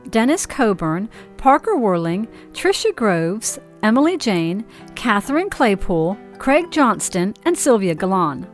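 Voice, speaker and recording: Woman announcer reading credits over faint music. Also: a little high.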